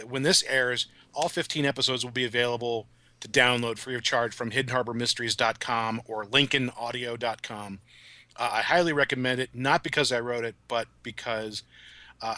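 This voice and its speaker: A man's voice: medium pitch, sharp tone, no room sound. A man speaking as a guest writer in a casual podcast discussion, articulate and enthusiastic. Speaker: guest, writer of audio dramas.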